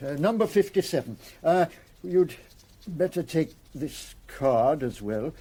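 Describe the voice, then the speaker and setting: Casting: silky, mocking villainous lawyer in radio play, highish mellow voice, theatrically condescending. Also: male voice, lively intonation, measured pace, breathy edge. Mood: smooth, persuasive. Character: smooth, condescending crooked lawyer, cultured and menacing. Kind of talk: radio drama acting.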